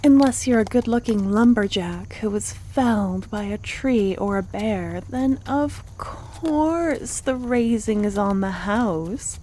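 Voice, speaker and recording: Woman performing jealous necromancer girlfriend, audio roleplay: theatrical, huffy, possessive, medium pitch, some background noise. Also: slow, hardly any pauses, mellow, lightly acted. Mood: catty, jealous. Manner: indignant, theatrical venting. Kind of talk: ASMR roleplay acting.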